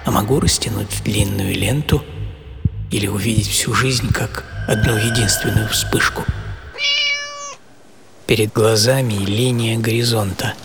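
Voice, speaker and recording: A hardy old seafarer of seventy, tells his own story as an audio play monologue. His mid-pitched voice is gravelly, with music behind it. He is philosophical and wry, savoring his pauses. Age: 70s. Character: hardy, serene seventy-year-old male solo sailor, philosophical and wry. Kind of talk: first-person dramatic monologue in an audio play.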